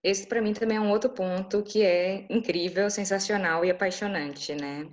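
A woman from Bahia speaks with a Brazilian Portuguese accent at a middling pitch for a woman. She answers interview questions eagerly, chatting along with frequent restarts. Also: fairly even intonation, normal speed. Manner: enthusiastic, chatty, many restarts. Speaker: interview guest.

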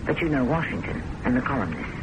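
A woman's voice: sing-song, fast, muffled recording. An American woman acting an intense, possessive, romantically obsessed woman in a theatrical radio mystery drama. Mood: bitter, defensive. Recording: noisy old radio broadcast with rumble.

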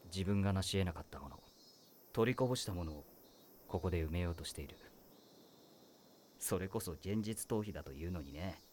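A man's voice: low voice, lively intonation, a little fast, pauses often, darkish tone, a little hiss or hum. A man playing a wry, world-weary teacher. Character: weary, wry male high-school teacher. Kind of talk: voice drama acting.

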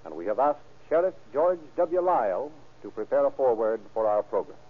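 A man's voice: medium pitch, very animated, brisk. A man announcing and narrating in a formal, declamatory 1930s radio style with careful enunciation. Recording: tinny old radio transcription recording.

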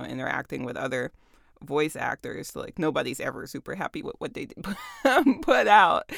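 A woman voice actor with a deep voice, chatting casually about her work, laughing often and using lots of fillers. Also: creaky, no echo, studio quality. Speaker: voice actor and audiobook narrator.

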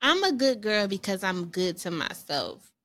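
Bright-voiced woman in her thirties. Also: medium pitch, big pitch swings. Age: 30s. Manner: candid, chatty, slows down to reflect. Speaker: podcast co-host. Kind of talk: casual chat.

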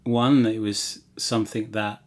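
A man co-hosting a podcast, talking in a casual, halting way. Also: fairly low pitch, little pitch movement. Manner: hesitant, halting phrasing. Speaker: podcast co-host. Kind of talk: casual reflective chat.

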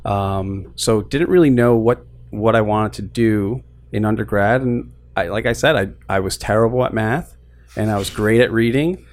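Young guy from Queens, relaxed guest, lowish voice, laid-back and self-mocking, restarts a lot. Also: moderate pace. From Queens, New York. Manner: easygoing, self-deprecating, frequent restarts. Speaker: guest, lending business co-founder. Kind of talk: casual interview chat.

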